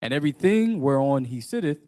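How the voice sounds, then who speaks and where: A man's voice: a little high, very animated, brisk, warm tone. Man reading scripture from afar. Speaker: scripture reader. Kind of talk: reading old scripture aloud.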